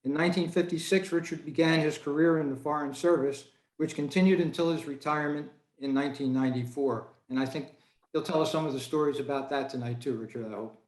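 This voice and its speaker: An American man reads out a formal guest introduction in a fairly high voice. He presents it as a prepared club speech. The room rings with echo over the video call. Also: fairly even intonation, fast talker.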